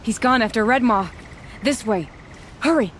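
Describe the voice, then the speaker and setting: Proud, poised noble huntress played by an actress. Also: female voice, on the high side, expressive, moderate pace, slight background noise, close to an everyday voice. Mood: urgent, indignant. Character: proud noble huntress, steely young woman.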